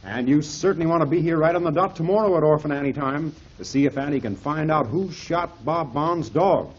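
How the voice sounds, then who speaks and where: Male announcer, fairly high raspy voice, chatty persuasive pitch to kids, vintage radio, muffled old recording. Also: brisk. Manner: chatty, persuasive, addressing young listeners. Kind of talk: 1930s children's radio announcing and sponsor pitch.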